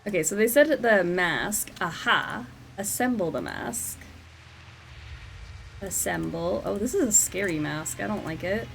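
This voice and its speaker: A woman's voice: mid-range voice, lively intonation, a little slow, gravelly, slight background noise. A woman streaming a horror game, chatting casually with her viewers and laughing often, her nose stuffed up from a cold. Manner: chatty, giggly, reads in-game notes aloud. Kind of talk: casual live-stream commentary.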